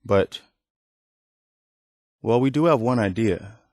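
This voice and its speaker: An actor playing a weary, earnest, plainspoken militia leader who protects refugees, in post-apocalyptic video game dialogue. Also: male voice, lowish voice, slow pace, a little mellow, dry recording, clean studio sound. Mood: resigned, hopeful.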